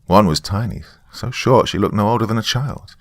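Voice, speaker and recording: A man narrating a dramatic first-person story in a deliberate, folksy storytelling manner. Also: fairly low pitch, dry recording.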